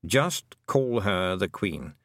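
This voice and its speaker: A man voicing a dry, knowing male talking toad who murmurs in a low, confiding way. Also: big pitch swings, slow, a bit bright, no echo, full character voice.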